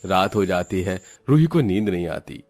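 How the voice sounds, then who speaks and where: A man's voice: low voice, animated, moderate pace, a little mellow. A man narrating a scripted horror cartoon story as a storyteller, with music behind him.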